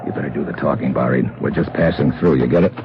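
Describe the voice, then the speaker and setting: Deep-voiced, wisecracking American agent. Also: male voice, quick pace. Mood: cautious, clipped. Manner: slangy, wry, hard-boiled. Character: wisecracking, tough American male secret agent. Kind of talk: old-time radio detective acting and first-person narration. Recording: muffled old radio recording.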